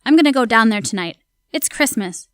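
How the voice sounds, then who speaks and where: A woman's voice: fairly high pitch, animated, brisk, clear, resonant tone, no echo, studio quality, close to an everyday voice. A woman playing a timid, kind-hearted household servant, reading her journal in an audio drama in a simple, confiding way. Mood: hushed resolve.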